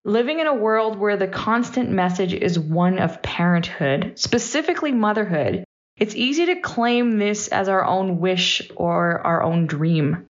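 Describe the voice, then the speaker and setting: A woman's voice: medium pitch, expressive, a little slow. A woman in her thirties giving a personal, reflective monologue as a podcast host, candid and emphatic, in short punchy sentences. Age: 30s. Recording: dull, slightly lo-fi voice recording.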